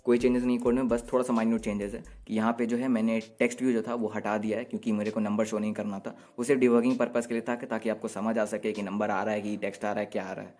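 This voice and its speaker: A man's voice: on the low side, flat delivery, very fast, few pauses, darkish tone. Casual Indian man explaining code. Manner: casual, offhand, talks to viewers like friends. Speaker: coding tutorial presenter. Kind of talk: informal screen-recording code walkthrough.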